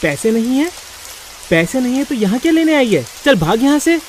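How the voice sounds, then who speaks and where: A woman's voice: sits high, big pitch swings, normal speed, a little mellow, slight background noise. A woman doing a rude stingy shopkeeper. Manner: curt, dismissive.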